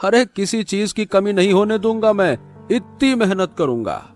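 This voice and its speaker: A man acts a poor village farmer in a cartoon drama, high-voiced and swinging widely in pitch. He is trusting yet stubborn and argues in homely colloquial speech, over faint music. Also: normal speed. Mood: reassuring. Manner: animated, colloquial remonstrating.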